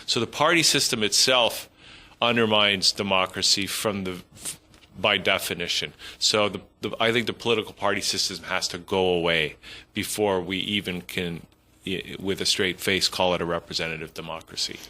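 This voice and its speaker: A man's voice: deep voice, moderate pace, sharp tone. A man on a panel, laying out his argument in a reasoned, explanatory way. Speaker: panel guest. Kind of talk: panel discussion.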